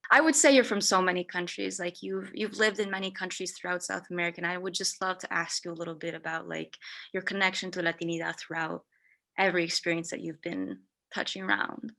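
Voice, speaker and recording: Bright-voiced woman hosting an interview. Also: lowish voice, quick pace, gravelly. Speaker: interview host. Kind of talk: interview questions and scripted guest introduction.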